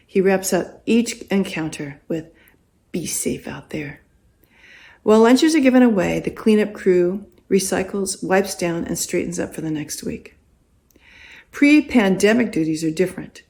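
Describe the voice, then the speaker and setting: An older American woman reading a prepared personal testimony as a volunteer, in a deliberate, expressive storytelling way with pauses. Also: fairly low pitch, creaky. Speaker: congregation volunteer giving testimony.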